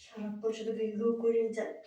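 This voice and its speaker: A woman's voice: fairly high pitch, monotone, fairly quick, warm tone, close to an everyday voice. A woman acting a bold, impatient, seductive married sister-in-law in a short film, coaxing insistently with a Bangladeshi Bengali accent. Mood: impatient, secretive. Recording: very echoey room, distant mic, faint noise.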